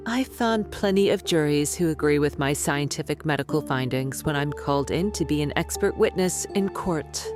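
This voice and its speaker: A woman acting as a self-assured medical expert in a radio drama. Her voice is notably low, with music underneath. She is clinical, condescending and dismissive. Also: normal speed, few pauses, close to an everyday voice. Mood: smug. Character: smug, dismissive female doctor and skeptic author. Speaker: doctor, expert guest.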